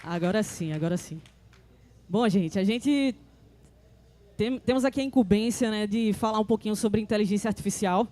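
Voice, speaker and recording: A woman moderating a live panel on a conference stage, talking in a halting way with many fillers and restarts. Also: mid-range voice, dark tone, a little hiss or hum. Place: conference stage before an audience.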